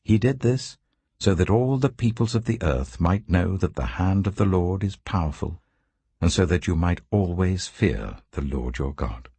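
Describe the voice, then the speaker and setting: A man with a low, very husky voice narrates scripture like an audiobook. His delivery is solemn and deliberate, with expressive rises and falls in pitch across each phrase. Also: dry recording. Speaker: Bible narrator.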